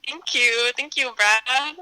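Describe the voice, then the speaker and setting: A woman's voice: sits high, takes it slowly, bright, forceful tone, smooth. A woman of about twenty chatting casually as an interview guest, giving short, tentative, playful answers. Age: around twenty. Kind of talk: casual chat.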